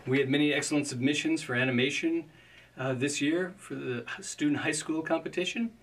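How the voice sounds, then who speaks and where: A man's voice: a little high, a little fast, a bit bright, recorded from a distance. A man in his fifties presenting awards, reading out nominees in a scripted presentation. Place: indoor studio with curtain backdrop.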